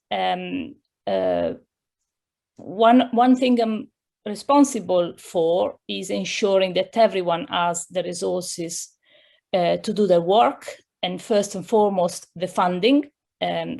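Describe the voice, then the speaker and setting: A woman's voice: medium pitch, vocal fry, darkish tone. A middle-aged woman from Italy with an Italian accent, a director answering interview questions over a video call, careful and full of fillers. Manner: careful, with many fillers and restarts. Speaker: director of a computing science centre, guest. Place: home room.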